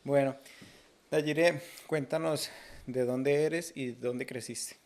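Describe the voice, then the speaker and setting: A man's voice: medium pitch, fairly even intonation, slow pace, darkish tone. Man hosting, hesitant questioner. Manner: hesitant, restarts questions often. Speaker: podcast host. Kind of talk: interview questions.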